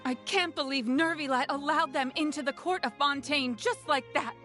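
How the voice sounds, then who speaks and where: A woman's voice: sits high, moderate pace, pushed and bright. A woman playing an indignant, distrustful townswoman in a video game. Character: alarmed, distrustful, shrill townswoman. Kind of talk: video game character acting. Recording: music behind the voice. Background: game music.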